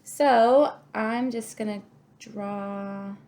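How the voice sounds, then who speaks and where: A woman's voice: medium pitch, steady tone, drawn-out words, darkish tone. A woman teaching young children a craft, talking through each step and thinking aloud in an encouraging way. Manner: encouraging, thinking aloud, asks the listener questions. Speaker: kindergarten teacher.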